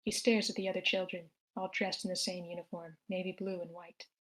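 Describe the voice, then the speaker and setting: A woman's voice: lowish voice, little pitch movement, a little fast. A woman reading out scripted stage directions as the narrator at a screenplay table read.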